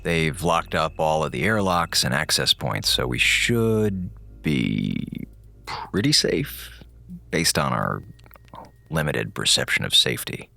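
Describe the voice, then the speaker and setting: A man's voice: very deep voice, sing-song, slow, gravelly, bright, forceful tone, no room sound, soft background music, exaggerated voice. An American man acting in an audio drama as a jittery, sarcastic communications officer on a space station, with slangy, rambling talk. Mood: wry narration.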